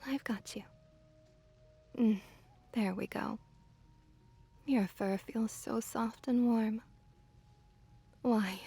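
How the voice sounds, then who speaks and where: A woman playing a doting, gently dominant kitsune witch in an ASMR roleplay, softly cooing and teasing, sometimes in a breathy whisper. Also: fairly high pitch, no echo, full character voice. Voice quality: soft, breathy whispering at times. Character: seductive, doting, possessive female kitsune fox witch. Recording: background music behind close, dry voice.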